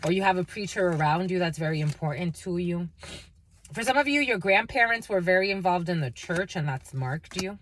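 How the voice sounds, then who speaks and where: A woman's voice: on the low side, lively intonation, brisk, slight background noise. A woman from the northeastern United States giving a casual live tarot reading, rambling with many fillers and talking fondly to her viewers. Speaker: tarot and energy reader.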